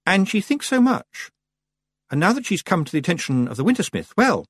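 A man's voice: high-pitched, sing-song, a little fast, fairly bright tone, breathy edge, no room sound, voice pushed up, exaggerated voice. A man voicing a prim, proper, fussy and anxious woman witch in an audiobook. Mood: worried.

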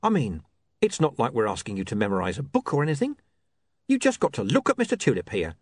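A man voicing a smooth, calmly menacing male criminal in a storybook reading. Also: medium pitch, quick pace, dry recording, pitched above the performer's own voice. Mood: coaxing. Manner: calm reasoning menace.

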